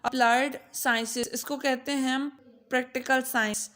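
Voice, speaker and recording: A woman with a Pakistani accent and a fairly high voice, altered by a voice changer. She teaches informally, switching between languages. Her delivery is chatty and colloquial, with joking asides to her students. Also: steady tone, moderate pace, a bit bright. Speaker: tutor. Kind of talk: informal lesson mixing Urdu, Punjabi and English.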